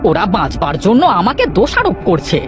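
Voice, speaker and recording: A man's voice: sits high, huge pitch swings, fairly quick. A man voicing a sly, bossy village steward, a lying cartoon villain, with music underneath. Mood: evasive, pleading. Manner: bossy, conniving. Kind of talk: cartoon character dialogue.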